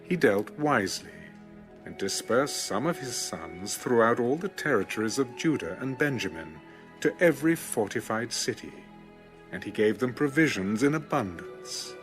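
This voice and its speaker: A man reading scripture as a scripted narration, in a measured and solemn manner. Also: medium pitch, lively intonation. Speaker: Bible audiobook narrator. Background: background music.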